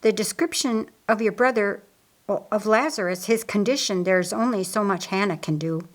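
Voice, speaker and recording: A woman playing a calm, authoritative man who heads a household, measured and instructing, in an audiobook. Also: mid-range voice, natural voice. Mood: grave, decisive.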